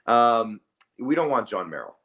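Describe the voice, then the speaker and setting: A man's voice: medium pitch, sing-song, unhurried, crowd noise. A man co-hosting a sports podcast, talking casually and conversationally with some hedging. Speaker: hockey podcast co-host.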